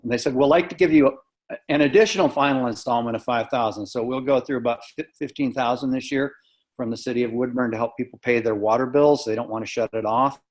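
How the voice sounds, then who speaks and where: A man's voice: medium pitch, quick pace. Plain-spoken American man on a conference call. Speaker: community task force member. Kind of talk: informal report on a group conference call. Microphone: video call microphone.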